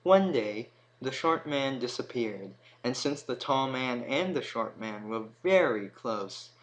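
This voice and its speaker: A man's voice: mid-range voice, expressive, slow pace, rough recording. A man reading scripted storybook narration as the narrator. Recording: noticeable background noise.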